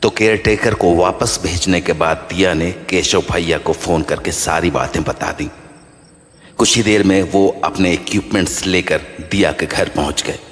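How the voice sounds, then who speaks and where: A man's voice: deep voice, moderate pace, a bit bright. A man narrating a scripted horror story as the storyteller of an audio drama. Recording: long reverb over faint music.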